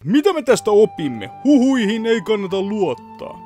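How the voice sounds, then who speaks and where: Man playing a cocky, animated young swordsman. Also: sits high, soft background music. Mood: deadpan. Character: cocky, shameless teenage boy swordsman. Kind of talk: anime parody fan dub.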